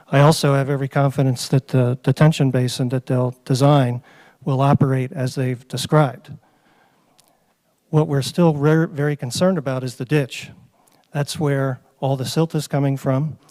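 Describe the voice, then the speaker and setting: Flat, matter-of-fact man at a hearing. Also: mid-range voice. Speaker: resident speaking at public hearing. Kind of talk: public comment at council meeting.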